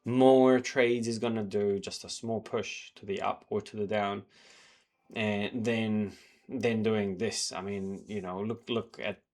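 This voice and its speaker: Guy a bit sick, lowish voice, rambly trading vlog, lots of ums and restarts. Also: fairly even intonation, slow, a little mellow. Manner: rambling with frequent fillers and restarts. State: slightly sick. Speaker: trading journal vlogger. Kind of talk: casual talk to camera, reviewing charts. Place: home in New Zealand.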